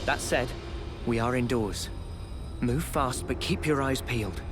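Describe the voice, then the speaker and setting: A man's voice: medium pitch, unhurried, over music, natural voice. A man playing a thoughtful, cautious young leader in a video game. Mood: watchful. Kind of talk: video game cutscene acting.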